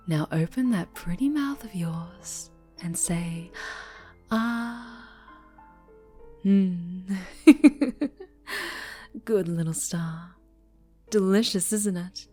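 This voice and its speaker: A woman playing an obsessive, possessive superhero captor in an intimate ASMR roleplay, cooing sweetly with menace in a soft, breathy voice that often drops into whispers. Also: on the low side. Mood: teasing, menacing.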